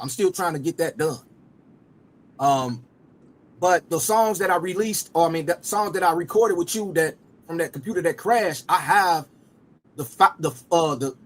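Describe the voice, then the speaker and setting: A man, hosting a loose, rambling casual chat and often repeating himself. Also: a little high. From Cincinnati area. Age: 40s. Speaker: rapper and host.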